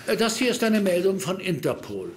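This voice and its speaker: An actor playing a formal, somewhat halting police superintendent in an audio drama. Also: male voice, sits high, sing-song, slight background noise. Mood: serious, official. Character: stiff, formal older male police superintendent briefing subordinates.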